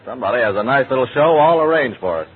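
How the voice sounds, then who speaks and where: An actor playing a curt, commanding homicide police captain in an old-time radio crime drama, on a noisy, tinny recording. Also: male voice, mid-range voice, expressive, fast, natural voice. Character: brisk, businesslike male homicide police captain.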